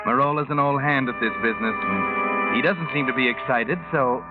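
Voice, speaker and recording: Man as mocking radio mystery narrator over loud music. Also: mid-range voice, close to an everyday voice. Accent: American. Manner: mocking, ominous, deliberate. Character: sardonic omniscient male mystery narrator, taunting the guilty. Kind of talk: 1940s radio acting, dramatic second-person narration. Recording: tinny old radio recording, loud music behind.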